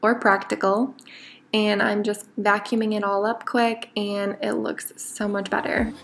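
A woman's voice: medium pitch, little pitch movement, measured pace, gravelly, a bit bright, slight background noise. A young woman narrating her own cleaning video in a casual, candid, lightly self-mocking voice-over. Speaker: cleaning vlogger.

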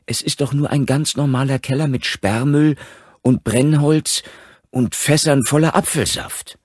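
A man's voice: medium pitch, fairly even intonation, unhurried, darkish tone, dry recording, clean studio sound, pitched above the performer's own voice, close to an everyday voice. A man voicing a worried mother who talks plaintively and insistently about her frightened son in an audiobook.

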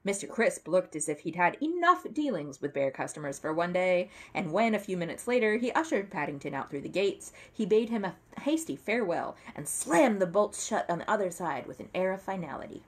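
A woman's voice: fairly low pitch, sing-song, a little fast, few pauses. A woman in her thirties reading a children's book aloud expressively, as a narrator at home. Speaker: story reader. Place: dim room at home.

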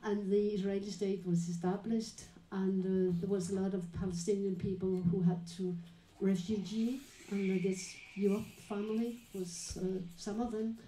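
A woman moderating a live panel talk, introducing guests and asking questions in a measured, explanatory way with many pauses. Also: mid-range voice, flat delivery, mellow. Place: small dim venue with live audience. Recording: echoey room recording.

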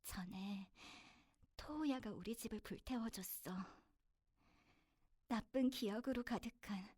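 A woman voicing a wounded teenage villain girl in an anime, bittersweet and sincere, her halting, emotional delivery often breathy and dropping toward a whisper. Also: fairly high pitch, mellow, no echo, clean studio sound. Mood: tearful, grateful. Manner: halting, emotional, swinging from intense to tender. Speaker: voice actor recording a sample. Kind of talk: emotional anime voice acting with inner narration.

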